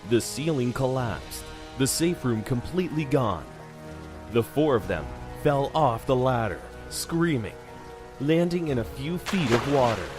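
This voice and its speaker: A man's voice: medium pitch, expressive, unhurried, over music. A man reading dramatic scripted narration for a horror audio drama.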